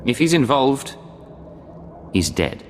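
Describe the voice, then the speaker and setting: An actor playing a hard, terse imperial secret agent in a video game, with a curt, cool manner. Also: male voice, medium pitch, sing-song, slow pace. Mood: cool, businesslike. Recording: long reverb, music behind.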